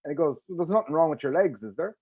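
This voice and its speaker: A former sumo wrestler telling stories on a panel, emphatic and animated. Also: male voice, a little high, quick pace, slightly rough, dull audio. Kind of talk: panel discussion.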